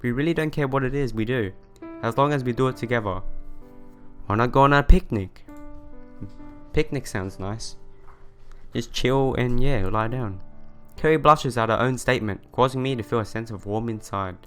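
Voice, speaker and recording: A man hosting a let's play, reading visual novel narration aloud in an expressive storytelling manner. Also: mid-range voice, moderate pace, long pauses. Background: background music.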